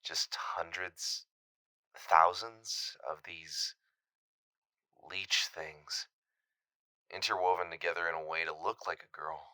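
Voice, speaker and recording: Deep-voiced, haunted man. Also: steady tone, pushed and bright, dry recording, heavily acted. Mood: haunted, horrified. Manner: hesitant, halting, haunted. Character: shaken young man confessing a terrifying encounter by voicemail. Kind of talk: audio drama monologue, naturalistic storytelling.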